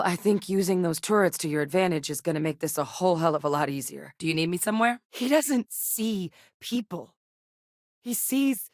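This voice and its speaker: A woman's voice: low voice, no echo. An actress playing a capable, dry-witted woman scientist and soldier in a space video game, articulate, earnest and direct.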